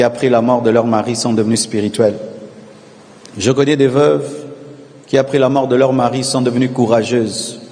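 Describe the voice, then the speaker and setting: A male pastor preaching a sermon, calling on the congregation to repeat after him and swelling into shouted refrains. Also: medium pitch, creaky, big-room sound. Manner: preaching with call-and-response, rising to shouted repetitions.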